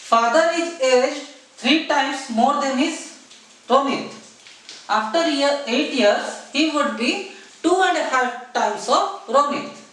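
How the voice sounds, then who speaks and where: High-voiced male tutor in an echoey room. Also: bright, forceful tone. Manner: repetitive, keeps addressing viewers as friends; mixes English math terms into his talk. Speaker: math tutor. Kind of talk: exam-prep math lesson. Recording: very echoey room, voice far from the mic.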